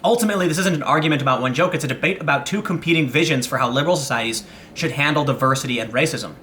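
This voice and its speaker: A man's voice: on the high side, bright, forceful tone. A young American man giving an opinion monologue, worked up and stumbling over restarts as he argues. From United States. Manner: agitated, rapid restarts and stammers. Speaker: political commentator.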